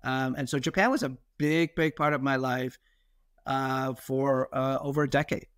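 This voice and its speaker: A man's voice: mid-range voice, steady tone, moderate pace, fairly bright tone, smooth, no room sound, clean studio sound. A middle-aged man with an American accent, talking in a reflective, hesitant, conversational way as a guest telling his own story in an interview. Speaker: podcast guest, investor.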